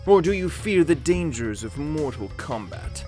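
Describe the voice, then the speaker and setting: A man's voice: on the high side, sing-song, measured pace, darkish tone, faint music behind. A man voicing a scheming ancient sorcerer emperor in a comic dub, formal, theatrical and silkily menacing. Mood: imperious challenge. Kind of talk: amateur comic dub voice acting.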